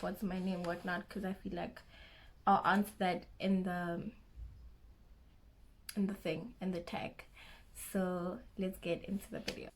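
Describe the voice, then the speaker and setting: A woman's voice: medium pitch, poor audio. A young woman with a South African accent, chatting casually and a little nervously in her first vlog. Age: young adult. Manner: nervous, chatty, self-conscious, restarts often. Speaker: vlogger.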